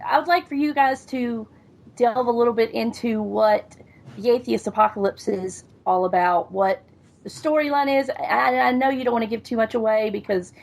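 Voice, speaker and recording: A woman's voice: on the high side, moderate pace, gravelly. A woman with a Southern US accent hosting a podcast, chatty and joking with her guests. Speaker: podcast host. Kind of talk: casual podcast interview.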